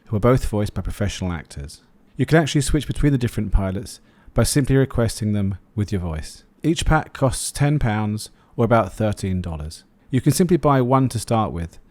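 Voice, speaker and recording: Male review narrator for video, relaxed warm tone, close dry recording. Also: medium pitch, no echo. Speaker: flight sim video host, reviewer. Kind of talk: scripted product review narration.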